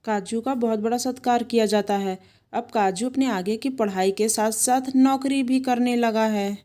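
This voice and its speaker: A woman narrating an animated moral tale in a scripted storytelling style. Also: on the high side, normal speed.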